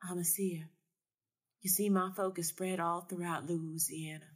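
Woman playing a knowing, folksy seer with low voice. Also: fairly even intonation, a little slow, breathy edge. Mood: solemn. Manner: folksy, solemn, assured.

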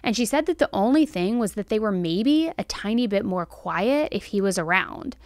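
A woman's voice: mid-range voice, expressive, normal speed, a little mellow, no room sound. True crime host: American female, 30s, mid-range voice, chatty yet emphatic delivery, tight dry podcast recording. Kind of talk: true crime commentary to camera. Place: small indoor studio set. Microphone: close podcast microphone on stand.

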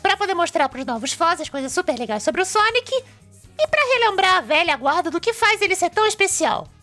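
Woman voicing a very high-pitched Brazilian cartoon fox boy. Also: sing-song, fairly quick, bright, forceful tone, faint music behind, heavily acted. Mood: upbeat. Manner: eager, explanatory. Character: cheerful, brainy young male cartoon fox presenter. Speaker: show presenter. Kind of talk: fan-dubbed cartoon web show.

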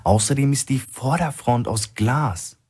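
A man's voice: medium pitch, measured pace, darkish tone, slightly rough, voice pushed up. A man playing an alert, level-headed male operative in a thriller audiobook, terse and matter-of-fact. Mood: alert, serious.

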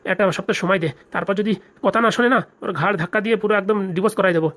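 A woman's voice: mid-range voice, very fast, lightly acted. A woman voicing a blustering middle-aged father in a comic cartoon, grumbling and fussy. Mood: reassuring, protective.

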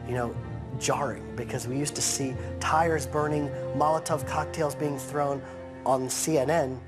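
A man in his thirties gives an earnest, measured sit-down interview from a couch, drawing out key phrases for weight. His voice sits at an ordinary middle pitch, and a clear music bed plays steadily behind him. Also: steady tone. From United States. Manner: earnest, measured, slows to stress points. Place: living room set, seated on a couch.

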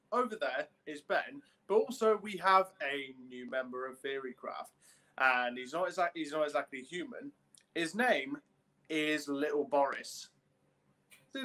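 A man's voice: fairly high pitch, bright, forceful tone. A young man hosting a podcast over a video call, chatting in an informal, jokey way. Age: young adult. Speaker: podcast host. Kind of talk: casual video podcast introduction. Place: small room at home, on video call.

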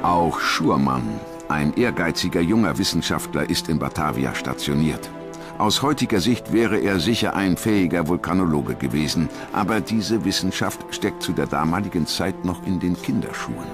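A man's voice: low voice, animated, moderate pace. A man reading scripted narration as the narrator of a documentary. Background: music.

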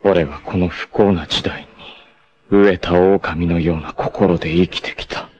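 An actor playing a proud, doomed martial-arts warrior in an anime, tender and self-sacrificing, with solemn, theatrical delivery. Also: male voice, deep voice, steady tone, unhurried, a little mellow, dull audio. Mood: solemn, resolute. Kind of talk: dramatic anime acting, monologue and narration.

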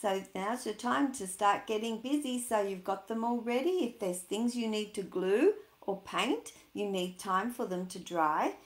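A woman's voice: mid-range voice, expressive, a little slow, hardly any pauses. A woman in her sixties reading and chatting to young children in a sing-song, questioning way. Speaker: storyteller for children. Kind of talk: read-aloud and chat addressed to young children. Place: small indoor room at home. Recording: roomy, echoey home webcam sound.

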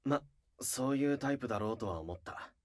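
A man playing a gruff, hot-tempered young male idol in a voice drama, curt and rough-spoken. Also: medium pitch, expressive, brisk, close to an everyday voice. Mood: dry. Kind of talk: Japanese voice drama acting.

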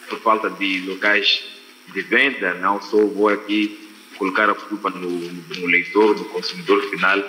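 Male panel guest, thirties, Mozambican accent. Low, piercing voice, rephrases deliberately, noisy video-call sound. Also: sharp tone, big-room sound. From Quelimane, Mozambique. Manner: deliberate, repeats and rephrases phrases, often adds "né" as a tag. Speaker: guest writer on a literary panel. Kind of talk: panel discussion, extempore opinion.

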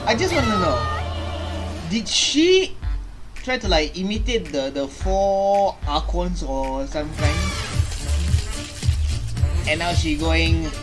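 A man in his twenties reacts casually and excitably at his desk in a small room. He speaks haltingly and circles back over phrases, with music playing faintly behind him. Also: on the high side. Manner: animated, halting, repeats phrases. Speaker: reaction video host. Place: small bedroom, indoors. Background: game trailer music.

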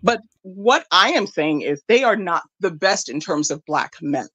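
A woman with an American accent, from Nigeria, calls in and argues her case with insistence. Her pitch rises and falls a great deal, and her voice has a bright, forceful edge. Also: expressive, measured pace, dull audio. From Nigeria, raised in the United States. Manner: insistent, repeats her point. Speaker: caller. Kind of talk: phone-in debate.

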